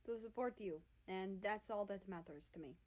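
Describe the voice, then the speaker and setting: A woman voicing a tall, gentle, devoted man with a soft, smooth and slightly formal manner in a story reading. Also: medium pitch, normal speed, close to an everyday voice. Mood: gentle, composed.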